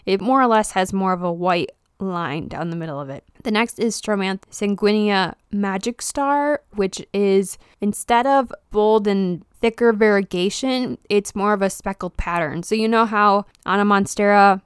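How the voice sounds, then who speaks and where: A woman's voice: medium pitch, normal speed, hardly any pauses, smooth, no echo, studio quality. A woman hosting a solo podcast, talking in a chatty, informal way with frequent fillers. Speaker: houseplant podcast host. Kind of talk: casual solo podcast talk.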